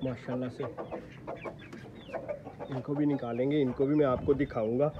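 A young man vlogging his poultry yard on a phone held at arm's length, chatting and calling out loudly to his birds. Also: mid-range voice, flat delivery, normal speed. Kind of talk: casual selfie vlog. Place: rooftop poultry yard outdoors, light rain. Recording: dull phone audio with outdoor noise.

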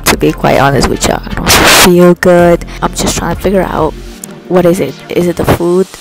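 A woman's voice: fairly low pitch, steady tone, normal speed, vocal fry, over loud music. A woman in her twenties, from Ecuador, talking hesitantly and confidingly to a phone camera held at arm's length in a small room. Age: 20s. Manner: hesitant, confiding, lots of fillers. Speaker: vlogger.

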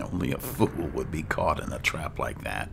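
A man's voice: extremely low pitch, lively intonation, normal speed, creaky, noisy background. A man giving casual let's play commentary, musing aloud to himself as he plays. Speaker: gamer narrating playthrough. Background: game ambience.

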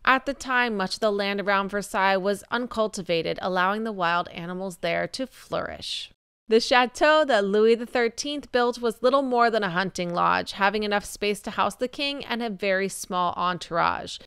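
A woman's voice: medium pitch, normal speed, fairly bright tone, smooth, no echo, clean studio sound. A woman hosting a cleaning vlog, narrating a history story in a wry, playful scripted voice-over. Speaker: vlog host.

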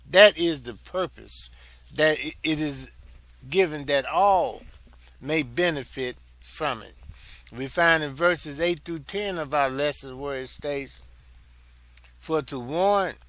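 An older man with a Southern US accent, teaching a Bible lesson in a slow, deliberate way and repeating phrases for emphasis. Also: a little high, a bit bright, no room sound. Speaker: Sunday school teacher.

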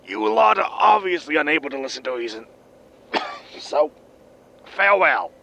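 An actor playing a bitter, ailing future spaceship captain, with a wheezy smoker's voice and a scornful, lecturing manner, in a science fiction comedy audio drama. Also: male voice, fairly high pitch, big pitch swings, normal speed, vocal fry, heavy background noise. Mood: angry, threatening. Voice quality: wheezy smoker's lungs, coughing.

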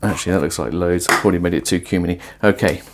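A man in his forties talking to camera while cooking in a small home kitchen, conversational and musing, with lively emphasis. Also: low-pitched, racing, heavy background noise. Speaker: presenter of a cooking and philosophy video. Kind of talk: casual explanatory talk to camera while cooking. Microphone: lapel mic.

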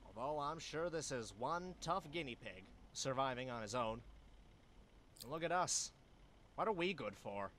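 A man's voice: mid-range voice, animated, measured pace, a bit bright, a little hiss or hum. A man voicing a skeptical, exasperated cartoon animal sidekick, sarcastic in manner, for an adventure game.

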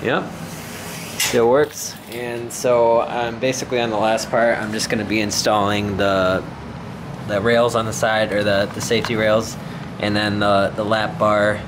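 Casual male vlogger, fairly deep voice, hesitant off-the-cuff delivery, recorded on a handheld camera with noticeable hum. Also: level tone, measured pace. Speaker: home gym vlogger. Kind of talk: casual unscripted vlog narration. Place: concrete basement home gym, indoors. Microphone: handheld camera mic, operated by speaker.

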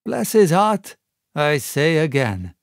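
A man's voice: on the high side, very animated, takes it slowly, vocal fry, dry recording, clean studio sound, heavily acted. A man voicing a fawning, sneering middle-aged pirate with a maimed hand, wheedling and falsely friendly.

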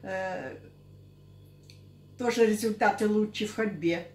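A woman's voice: medium pitch, slow pace, a little mellow. Older woman in an echoey room. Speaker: interviewee giving a product testimonial. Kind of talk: unscripted interview answers. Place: small curtained indoor office. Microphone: camera a couple of metres away.

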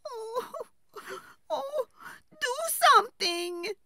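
Woman to play woodcutter's wife, storybook: high, piercing, bright, exasperated scold. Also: lively intonation, gravelly, no echo, heavily acted. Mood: distressed. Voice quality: shrill, high-pitched. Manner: scolding, emphatic, drawn-out words. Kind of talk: storybook character voice.

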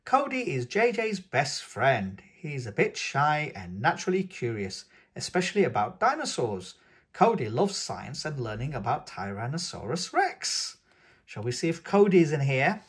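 Husky, lively children's book reader, man. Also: on the high side, a little slow. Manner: sing-song, coaxing tone aimed at toddlers. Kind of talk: reading a picture book aloud to young children. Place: indoors at a tabletop, hands showing a book.

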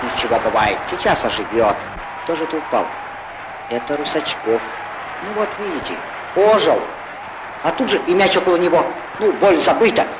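A man playing an excitable, good-humoured football commentator in a 1940s cartoon, chatty and theatrical, on a noisy old film soundtrack. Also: on the high side, moderate pace, lightly acted. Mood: concerned, wry. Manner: chatty, theatrical, exclaiming, correcting himself. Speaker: sports commentator.

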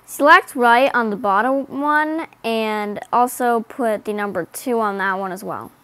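A woman's voice: on the high side, a little slow, fairly bright tone, clear, resonant tone, no room sound. A woman narrating a step-by-step coding tutorial in a plain, instructing voice-over. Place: voice-over over screen recording. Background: faint background music.

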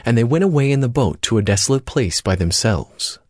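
A man's voice: medium pitch, expressive, a little fast, no room sound, clean studio sound. A man narrating scripture from a script as part of a dramatized reading. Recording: music bed behind voice.

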